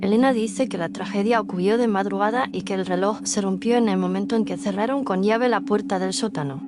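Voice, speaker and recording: A woman's voice: medium pitch, brisk, background noise, close to an everyday voice. A woman playing a bold livestream host in a scripted horror story, with a matter-of-fact presenting manner.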